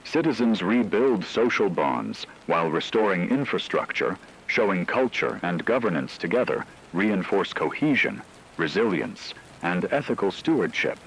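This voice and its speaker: Low-voiced guy doing formal radio news, bit of rainy static noise. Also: expressive, moderate pace, vocal fry, rough recording. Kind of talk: scripted news narration.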